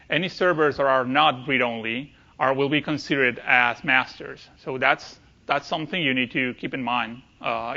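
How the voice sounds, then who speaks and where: A man's voice: medium pitch, bright, forceful tone, lo-fi sound. A man presenting technical material at a conference, speaking hesitantly and often repeating words as he goes.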